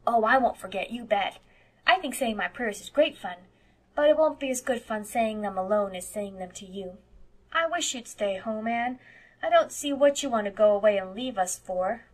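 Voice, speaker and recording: A woman's voice: fairly high pitch, lively intonation, normal speed. A woman voicing a blunt, defiant eight-year-old farm boy with childish, folksy grammar in an audiobook. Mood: earnest, decided. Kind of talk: audiobook character voice.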